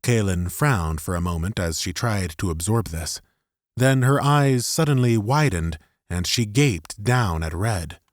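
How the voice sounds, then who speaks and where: Man, low pitch, audiobook narrator, scripted fiction storytelling, dry close studio recording. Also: animated, a little slow, no room sound.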